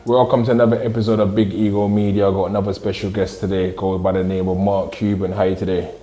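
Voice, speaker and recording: A man hosting a casual podcast interview, asking relaxed, probing questions. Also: sits low, quick pace, soft background music. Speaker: podcast host. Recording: echoey room with faint noise.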